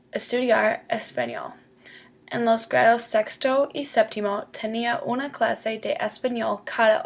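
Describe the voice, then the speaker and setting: A woman's voice: fairly high pitch, creaky. A young woman student reading a prepared school presentation, speaking carefully as a learner with small grammar slips. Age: young adult. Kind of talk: read-aloud school presentation.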